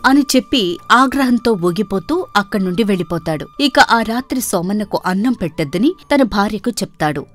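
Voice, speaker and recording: A woman's voice: medium pitch, lively intonation, moderate pace, soft background music. A woman storyteller reading a scripted moral tale as narrator.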